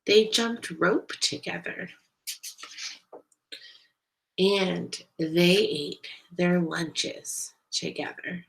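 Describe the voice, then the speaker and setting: A woman reading a picture book aloud to young children in an expressive, deliberate way, as a storyteller. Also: lowish voice, gravelly, low-quality recording. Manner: animated, deliberate, pauses to ask listeners questions.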